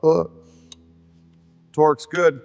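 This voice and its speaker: A man's voice: fairly high pitch, fairly even intonation, drawn-out words, noisy background. A man giving short scripted workshop remarks as a mechanic. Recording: loud music over the voice.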